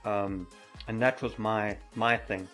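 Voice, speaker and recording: A middle-aged man with a stutter who repeats words, talking softly and openly as a podcast guest. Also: lowish voice, fairly even intonation, slow pace, soft background music. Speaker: podcast guest, mountain biker. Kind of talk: casual interview chat.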